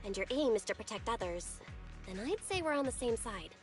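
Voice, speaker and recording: High-voiced, idealistic young heroine. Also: female voice, very animated, fairly quick, soft background music. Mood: resolute. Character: idealistic, confident, dramatic young female hero. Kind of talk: video game voice acting.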